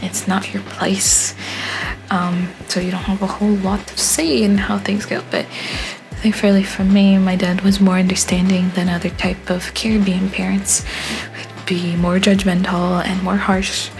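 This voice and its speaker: A young woman narrates her vlog in a soft, rambling voice-over. Her voice sits at an ordinary middle pitch. She often pauses on filler words, and faint hiss runs under the recording. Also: low-quality recording. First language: Haitian Creole. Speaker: art vlogger.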